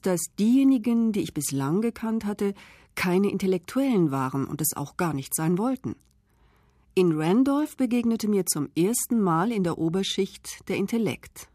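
A woman reading memoir passages in the first person as a self-made woman recalling her rise, in a measured, reflective way. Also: medium pitch, dark tone, husky, no echo, clean studio sound.

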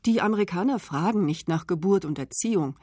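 A woman reading memoir passages in the first person as a self-made woman recalling her rise, in a measured, reflective way. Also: lowish voice, expressive, darkish tone, breathy edge, no echo, studio quality.

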